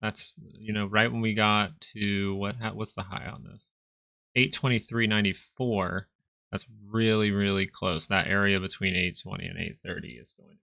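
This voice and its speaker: A man hosting a casual live stock market stream, talking viewers through charts in a relaxed, conversational way. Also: fairly low pitch, normal speed, creaky, dry recording. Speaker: stock market livestream host.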